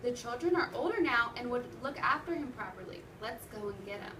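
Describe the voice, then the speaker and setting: Lady reading excitable little storybook bear cub full of ideas, echoey noisy room. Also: a little high, brisk, lightly acted. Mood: eager. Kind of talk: storybook read-aloud for children. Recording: roomy, noisy classroom from far off.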